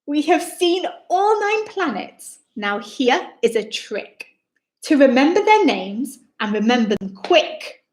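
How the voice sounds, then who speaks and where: A woman with a high-pitched voice reads a children's picture book aloud in an echoey room. Her delivery is theatrical and very lively, with wide swings in tone and an unhurried pace. She speaks straight to her young listeners, exclaiming as she goes. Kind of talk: expressive rhyming read-aloud for young children. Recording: roomy, echoing indoor sound.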